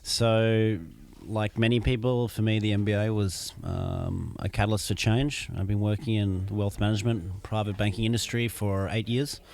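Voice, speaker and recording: Australian man in his thirties, gravelly low voice, measured and hesitant, interview guest. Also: level tone, darkish tone. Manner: measured, many ums and restarts. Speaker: MBA student guest. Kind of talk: casual interview answers. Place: business school campus during a conference.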